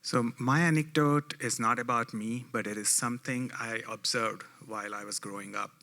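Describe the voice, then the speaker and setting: Panelist: man, Indian accent, bright forceful tone, reflective, earnest delivery. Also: mid-range voice, steady tone, normal speed. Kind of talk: conference panel anecdote.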